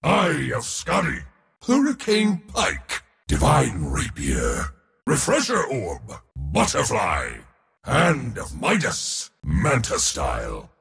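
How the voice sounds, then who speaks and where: A man voicing a proud, boastful god of war for a video game, gravelly, pompous and theatrical in delivery. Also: mid-range voice, huge pitch swings, takes it slowly, a bit bright, long reverb tail, heavily acted. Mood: proud, declaring. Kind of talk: video game character voice lines.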